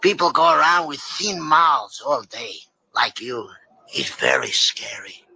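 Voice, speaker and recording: Sly raspy Latin small-time crook. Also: male voice, a little high, wildly swooping pitch, measured pace, pushed and bright, heavily acted, poor audio. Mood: sly, teasing. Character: wary, wisecracking small-time Latin crook with elaborate speech. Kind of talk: 1950s radio drama acting. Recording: raspy-edged old radio recording.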